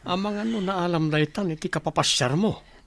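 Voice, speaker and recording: A man's voice: high voice, fairly quick, gravelly, no room sound. A man playing an easygoing, brotherly village buddy in an Ilocano comedy radio drama, casual and questioning. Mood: concerned.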